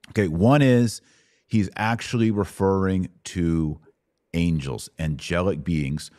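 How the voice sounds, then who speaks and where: A man with a very low voice teaches scripture in a conversational podcast style. He explains patiently in steps and checks in with short tag questions. The sound is dry and close, without room reflections. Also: darkish tone, no echo. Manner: explanatory, checks understanding with rhetorical tags. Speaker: host and Bible teacher answering questions.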